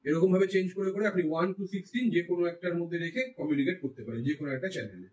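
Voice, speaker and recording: High-pitched, fast-talking Bengali man, echoey room. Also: darkish tone. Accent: Indian Bengali. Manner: explanatory, mixes English phrases into speech. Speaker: tech reviewer, vlogger. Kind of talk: casual product review, explaining to viewers. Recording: roomy echo, distant mic.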